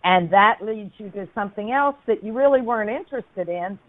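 A woman's voice: mid-range voice, a little fast. An older woman hosting a radio interview, who speaks in an outspoken, emphatic way. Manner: outspoken and emphatic, with a laughing aside. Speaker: radio host. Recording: phone-like radio line.

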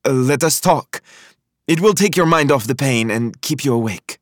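A man performs a composed, forceful Lebanese woman in an audiobook thriller. The voice is lifted well up in pitch, with formal, deliberate phrasing and a close, dry sound. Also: lively intonation, dry recording, lightly acted. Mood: concerned. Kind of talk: audiobook character voice.

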